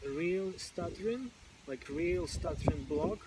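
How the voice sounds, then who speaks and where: A man's voice: sits high, lively intonation, slow, very noisy. A man in casual conversation who now and then repeats sounds when stuck on words. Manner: occasional stuttered repetitions, informal phrasing. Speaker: stammering support group member.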